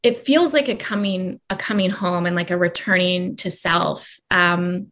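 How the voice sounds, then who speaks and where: A woman's voice: on the low side, fairly even intonation, measured pace, a bit bright. Woman, interview guest, rambling, reflective, full of fillers. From Canada. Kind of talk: conversational interview.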